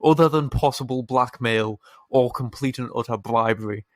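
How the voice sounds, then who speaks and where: A man's voice: medium pitch, moderate pace, no echo. Sweary man, dry close mic. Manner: sweary, rambling, laughs while talking. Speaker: podcast co-host. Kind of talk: casual podcast banter.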